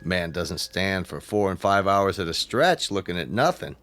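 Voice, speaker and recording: An actor playing a nosy, casual museum guard in a radio drama, wry and probing. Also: male voice, sits low, expressive, moderate pace, a little hiss or hum. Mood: curious, wry. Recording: radio play with soft music underneath.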